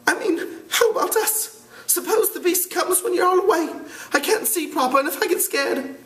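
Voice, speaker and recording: A man voicing an anxious, frightened boy with glasses in a read-aloud of a novel, using a high, whiny voice. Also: animated, moderate pace, a bit bright. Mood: fearful. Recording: echoey room.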